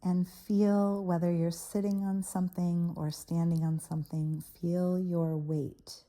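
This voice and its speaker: Very mellow, dark-voiced woman guiding meditation. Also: on the low side. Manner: soothing, unhurried phrasing with pauses between short phrases. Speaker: guided meditation host. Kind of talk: guided meditation, soft guided visualization.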